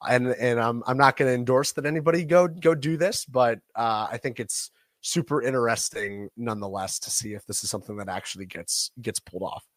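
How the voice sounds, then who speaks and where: A man's voice: mid-range voice, a little fast, no echo, studio quality. A man co-hosting a casual news podcast, musing aloud and hedging his opinions. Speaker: podcast co-host.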